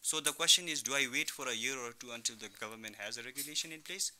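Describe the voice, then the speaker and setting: A young man from Thailand talks as the guest in a radio interview, with a very bright, forward voice. He explains his business conversationally, reaching for examples and often adding "you know". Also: mid-range voice, fast. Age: young adult. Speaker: entrepreneur guest, online business founder. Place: radio studio.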